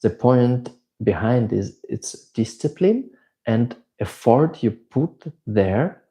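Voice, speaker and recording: A man's voice: medium pitch, lively intonation, takes it slowly, warm tone. A middle-aged man from Switzerland with a German accent, chatting casually as a livestream host, reading out viewer questions and answering at length. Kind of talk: casual live Q&A chat.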